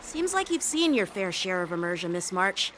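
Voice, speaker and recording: A woman voicing a polite, gently teasing young sword teacher in an anime-style game, with music behind. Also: on the low side, sing-song, normal speed, poor audio. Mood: calm, amused. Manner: polite, reasoned, lightly amused.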